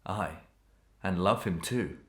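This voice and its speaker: A man's voice: low voice, takes it slowly, a bit bright, reverberant. A man playing a tormented, menacing murderer husband in a Victorian melodrama, declaiming grandly and commandingly in archaic English. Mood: commanding, bitter. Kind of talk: old-fashioned melodramatic stage acting.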